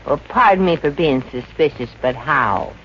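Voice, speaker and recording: Lively wisecracking American showgirl, old radio. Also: a bass voice, normal speed, a little hiss or hum. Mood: curious, skeptical. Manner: wry, slangy, wisecracking. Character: wisecracking young Brooklyn showgirl, friendly and streetwise. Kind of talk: 1950s radio comedy acting. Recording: muffled old radio recording with music.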